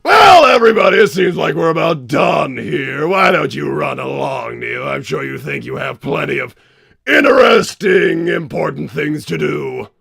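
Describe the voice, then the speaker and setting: A man voicing a hammy, short-tempered mad-scientist villain on a comedic livestream, blustering and theatrical with a gravelly rasp. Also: on the high side, big pitch swings, moderate pace, heavily acted. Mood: irritated. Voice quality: gravelly, raspy shouting.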